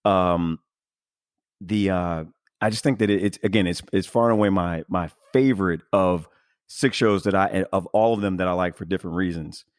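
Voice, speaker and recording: A man with a deep voice hosts a casual interview podcast. He talks effusively and rambles through his thoughts. The sound is dry, with very little room. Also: moderate pace, smooth, no echo. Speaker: podcast host.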